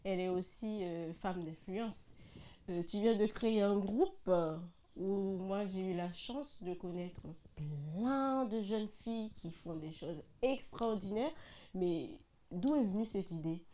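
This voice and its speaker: A woman's voice: medium pitch, expressive, slow, warm tone. A woman hosting an interview show, welcoming her guest and asking questions in an upbeat way. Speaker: interview host.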